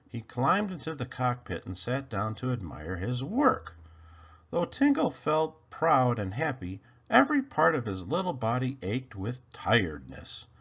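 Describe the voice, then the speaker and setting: A man with a gravelly, husky voice narrating a children's story in an expressive read-aloud style. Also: medium pitch, lo-fi sound. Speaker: storybook reader.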